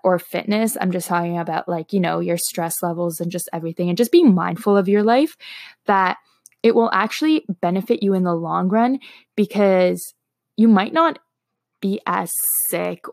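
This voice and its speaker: A woman's voice: mid-range voice, a little mellow, no echo. Young Canadian woman, close dry sound. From Toronto, Canada. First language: Slovak. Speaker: health and wellness blogger. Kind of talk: casual chat to followers.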